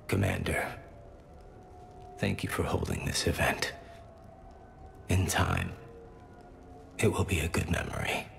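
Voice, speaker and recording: An actor playing a grieving young alien son in a video game, solemn and courteous, with a gravelly voice and formal, halting phrasing. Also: male voice, fairly bright tone, faint music behind, full character voice. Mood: courteous, subdued. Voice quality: gravelly, very low voice. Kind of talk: video game cutscene acting.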